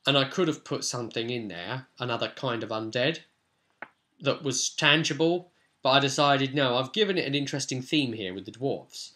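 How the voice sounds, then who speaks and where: A man's voice: mid-range voice, animated, normal speed, bright, forceful tone. A British man giving casual, unscripted commentary on a tabletop role-playing session as game master, in a rambling, colloquial way.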